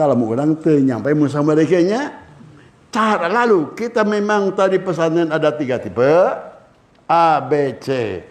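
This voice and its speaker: Older male cleric, Sundanese accent, slightly high voice, lively teaching tone. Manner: lively, switching between languages, acting out anecdotes. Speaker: Islamic cleric. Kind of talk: religious lecture to students.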